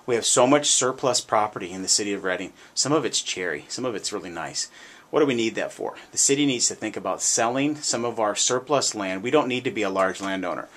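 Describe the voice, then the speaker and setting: A man's voice: lowish voice, a little fast, fairly bright tone. A man hosting a talk radio show, giving commentary in an emphatic, conversational way and often repeating his points. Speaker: radio show host, realtor.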